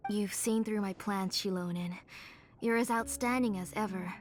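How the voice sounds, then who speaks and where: An actress playing a weary, grieving middle-aged mother in a video game, gentle and resolute, with a measured, sorrowful delivery. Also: mid-range voice, faint music behind, close to an everyday voice. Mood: calm, resolute. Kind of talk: dramatic video game voice acting.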